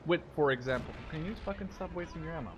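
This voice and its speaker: A man's voice: on the high side, lively intonation, fast, dull audio, background noise. A man in his twenties from the Netherlands, a native Dutch speaker, giving casual, rambling and informal commentary over gameplay. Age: 20s. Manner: rambling, digressive, informal with mild swearing. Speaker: gaming video creator.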